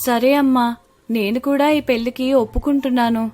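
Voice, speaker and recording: High-voiced gentle young daughter, acted by a woman. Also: steady tone, moderate pace, soft background music, lightly acted. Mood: hesitant acceptance. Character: dutiful young poor daughter, gentle and hesitant. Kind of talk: dramatized moral storytelling.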